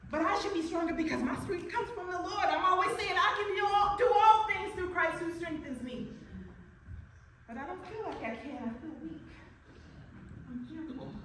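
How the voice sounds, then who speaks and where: High voice, actress as a frightened faithful woman, self-questioning, very echoey distant noisy recording. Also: brisk, a crowd in the background. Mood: anxious, prayerful. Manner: talks to herself, questioning and praying. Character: anxious devout woman with breast cancer, self-questioning. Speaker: woman awaiting a cancer consult. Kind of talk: inner-monologue acting.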